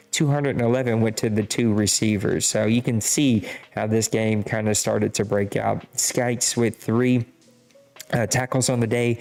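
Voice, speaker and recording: A man's voice: mid-range voice, vocal fry, a little mellow. A man with a Cajun accent hosting a podcast, casually recapping scores in a rambling, self-deprecating way. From Louisiana. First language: Cajun French. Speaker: podcast host.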